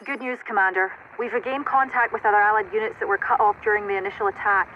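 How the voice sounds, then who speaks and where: An actress playing an efficient military operations officer, giving precise, businesslike briefings in a video game. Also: female voice, medium pitch, steady tone, quick pace, close to an everyday voice. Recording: dull radio-like sound, noisy background.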